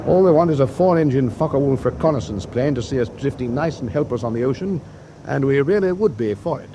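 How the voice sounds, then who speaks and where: Actor as wry, weary British naval captain. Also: male voice, medium pitch, expressive, brisk, mellow, a little hiss or hum, lightly acted. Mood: grim. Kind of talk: wartime radio drama acting. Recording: clear ship ambience behind voice.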